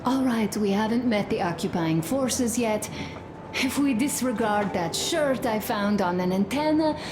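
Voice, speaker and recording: A woman's voice: on the high side, expressive, a little slow. An actress playing an earnest young woman who confides her doubts intimately and emphatically in a post-apocalyptic video game. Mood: bitter, troubled. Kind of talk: video game dramatic monologue. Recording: roomy, clear background ambience noise.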